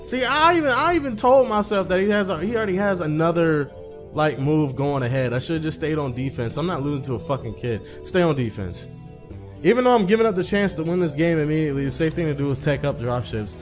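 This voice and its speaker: A man's voice: fairly high pitch, animated, fairly quick. A young man hosting a gaming video, reading a visual novel aloud in an informal, profane, conversational way. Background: music.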